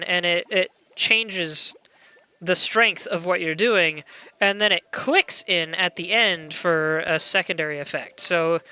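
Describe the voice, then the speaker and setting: A young adult game streamer with a loud voice, chatting casually and excitably while playing and greeting viewers. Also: medium pitch, smooth. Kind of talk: casual live gameplay chat.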